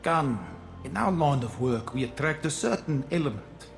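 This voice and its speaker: An actor playing a suave, self-important crime boss in a video game, with a pompous, smooth-talking manner. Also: male voice, on the high side, animated, normal speed. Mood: pompous, lofty. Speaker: villain character in a video game. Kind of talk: dramatic scripted game dialogue. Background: background music.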